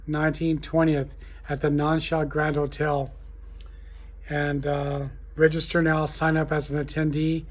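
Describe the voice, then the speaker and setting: A man hosting a trade show gives an informal, unscripted promotional talk, speaking in a halting, repetitive, salesy way. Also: fairly high pitch. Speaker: trade show host promoting his event.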